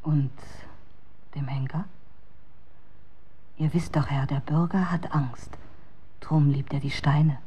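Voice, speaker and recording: An outcast woman of ill repute in a radio drama. Her voice is low, dark and slow, sinking into a breathy half-whisper. She speaks archaic, poetic words with bitterness and tenderness. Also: steady tone, slight background noise. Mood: quietly bitter. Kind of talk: German radio drama acting.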